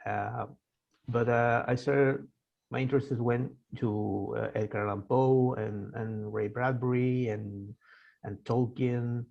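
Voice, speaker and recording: A man in his sixties from Argentina, a native Spanish speaker, answering interview questions in a halting way with many fillers and restarts. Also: mid-range voice, steady tone, creaky, lo-fi sound. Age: 60s. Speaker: guest author. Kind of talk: casual interview.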